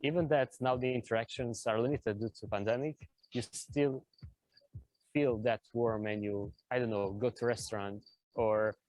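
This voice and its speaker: A man's voice: medium pitch, fairly even intonation, moderate pace, darkish tone, no echo, poor audio. A man from Romania with a Romanian accent, giving a casual testimonial as a digital nomad.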